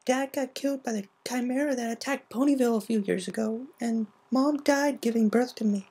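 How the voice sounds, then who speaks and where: A woman's voice: a little high, fairly quick, mellow, slightly rough, voice pushed up. A woman voicing a shy, nervous young Pegasus filly who stammers, hesitates and sounds apologetic. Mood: sad, apologetic.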